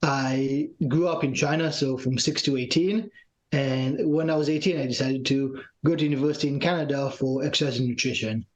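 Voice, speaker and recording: A young man from Israel with an Israeli accent, a guest in a casual interview, relaxed and sprinkling in lots of fillers. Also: mid-range voice, brisk, muffled recording. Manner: relaxed, uses many fillers like "like". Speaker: guest, fitness trainer.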